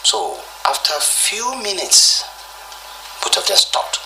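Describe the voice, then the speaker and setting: A middle-aged man with a Nigerian accent giving a halting, step-by-step eyewitness account, often quoting what was said. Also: high-pitched, big pitch swings, pushed and bright, far from the mic, a little hiss or hum, rough recording. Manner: halting step-by-step storytelling with quoted speech. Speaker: interviewee, kidnapping survivor.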